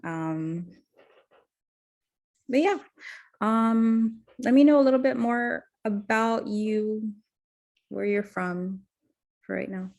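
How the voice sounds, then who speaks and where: A woman's voice: fairly high pitch, takes it slowly, gravelly, long pauses, darkish tone. Hesitant young woman counselor. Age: 20s. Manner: hesitant, heavy on fillers and restarts. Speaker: counselor in a practice session. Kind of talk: mock counseling intake conversation.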